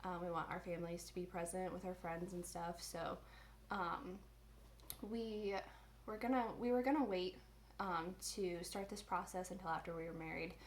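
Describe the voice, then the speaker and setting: A woman in her twenties from the US Midwest, chatting casually on her vlog and filling her pauses with ums. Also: fairly low pitch, fairly even intonation, slight background noise. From Indiana, USA. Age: 20s. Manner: chatty, many ums and restarts. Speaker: vlogger. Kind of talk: casual chat.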